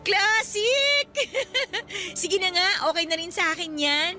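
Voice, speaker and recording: A woman's voice: very high voice, expressive, slow pace, sharp tone, faint music behind, heavily acted. An actress playing a young female student in a radio drama, flustered and evasive, scolding her boyfriend playfully. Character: shy, secretive young female student girlfriend.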